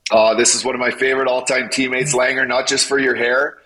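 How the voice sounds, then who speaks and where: Hyped-up bright-voiced host man. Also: mid-range voice, fairly quick. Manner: energetic hype, playful banter. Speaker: podcast co-host, former hockey player. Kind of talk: casual podcast chat.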